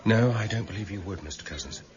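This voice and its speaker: Actor as a deep-voiced, grave police superintendent. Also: male voice, lively intonation, a little mellow, lo-fi sound, lightly acted. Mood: quiet, conspiratorial. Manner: probing, terse, measured. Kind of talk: 1990s radio drama acting.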